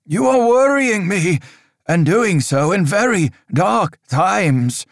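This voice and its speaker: A man voicing a fearful old priest whose shrill voice quivers as he preaches and accuses. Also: expressive, slow, vocal fry, no echo, studio quality, full character voice. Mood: stern, worried. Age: older.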